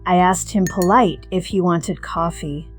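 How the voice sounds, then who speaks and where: A woman playing a middle-aged retired firefighter, narrating a scripted first-person story in a measured, reflective, wry way. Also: on the low side, steady tone, faint music behind, close to an everyday voice. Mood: reflective, wistful.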